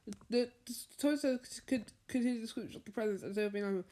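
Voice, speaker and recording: A woman reading a children's bedtime story aloud, haltingly, stumbling over and repeating words as she goes. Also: on the high side, warm tone. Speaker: storybook reader.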